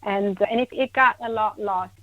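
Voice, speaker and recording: A woman from Italy, a guest in a conversational interview, emphatic and quick to repeat her agreement. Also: medium pitch, no echo. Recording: tinny phone-line sound, dry.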